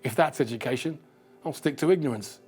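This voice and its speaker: A man's voice: medium pitch, fairly quick, darkish tone, faint music behind, natural voice. A man reading a novel and voicing a stuffy older British man who complains bluntly and indignantly.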